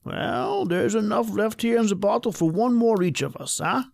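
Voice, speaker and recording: An actor playing a secretive old man with a German accent, halting and wistful, in an old-time radio horror drama. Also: high voice, expressive, creaky, no room sound. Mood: guarded, cordial. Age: older. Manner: halting, wistful, punctuated by little questioning tags. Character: secretive, hospitable old European father living alone.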